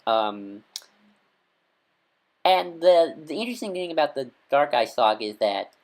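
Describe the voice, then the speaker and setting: A man chatting casually to camera as a devoted fan reviewer. He rambles with warm enthusiasm, stumbling over restarts and filling pauses with "um" and "uh". The delivery is loose and unscripted. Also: mid-range voice, vocal fry.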